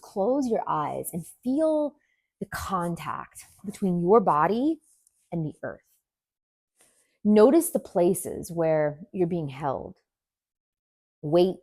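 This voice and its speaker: Slow-paced woman guiding gentle meditation. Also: fairly low pitch, no room sound. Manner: gentle, soothing, deliberate phrasing with pauses. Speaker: yoga nidra teacher.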